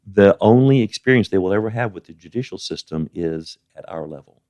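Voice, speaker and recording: An older man giving conversational interview answers in a halting, plain-spoken way, repeating words as he goes. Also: lowish voice, lively intonation, a little mellow, no room sound. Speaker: guest, justice of the peace.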